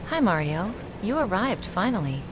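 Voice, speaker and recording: Man doing a high-pitched young woman. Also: very animated, moderate pace, noisy background. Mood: welcoming. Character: young woman greeting a visiting friend.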